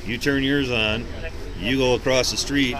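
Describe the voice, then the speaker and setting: A man's voice: medium pitch, fairly even intonation, measured pace, crowd noise, low-quality recording. A man speaking as a police officer, explaining rules in a firm, plain-spoken way out on a city sidewalk. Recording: heavy street traffic noise outdoors. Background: cars and urban hum.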